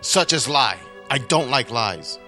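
A man's voice: medium pitch, animated, unhurried, pushed and bright. A man playing a blunt, sarcastic test-pilot superhero in a radio play, with a casual sardonic manner. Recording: prominent music under the voice.

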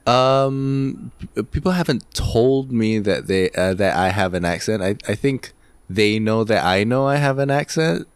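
A man's voice: lowish voice, animated, measured pace, clear, resonant tone, dry recording. A young man from Malaysia with a Malaysian accent co-hosting a podcast in casual chat, hesitant and rambling. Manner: hesitant and rambling, many fillers. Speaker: podcast co-host.

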